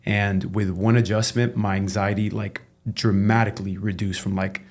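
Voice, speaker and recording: A man from Cuba speaking with a fairly low voice as a podcast guest. He tells his story in a loose, candid way and keeps checking in with "right?". He swears casually and without fuss. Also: moderate pace. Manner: relaxed and candid, tags statements with "right?", swears freely. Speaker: chiropractor guest.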